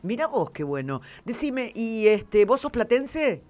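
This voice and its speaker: Smooth-voiced Rioplatense woman radio host. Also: a little high, sing-song, darkish tone. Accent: Rioplatense Spanish. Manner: chatty and curious, quick to agree. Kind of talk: casual radio interview.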